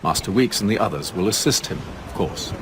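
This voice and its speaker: A man acting a cold, commanding Templar master for a game cutscene. His voice is fairly low and formal, over clearly noisy ambience. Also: animated, brisk, lightly acted. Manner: formal, authoritative. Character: commanding, coldly determined male Templar leader.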